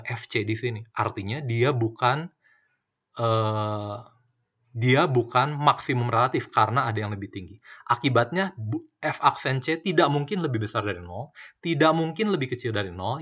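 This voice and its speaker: A man's voice: mid-range voice, a bit bright. Man lecturing on calculus proofs. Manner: explains step by step with frequent "eh" fillers and "oke ya" check-ins. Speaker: university math lecturer. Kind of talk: recorded lecture with slides. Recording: slightly dull lo-fi mic.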